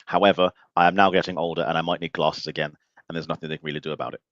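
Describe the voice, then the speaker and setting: A man's voice: deep voice, flat delivery, rapid-fire, a bit bright, no room sound, muffled recording. A man of about forty hosting an interview, joking and teasing in loose, informal chat. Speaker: podcast host.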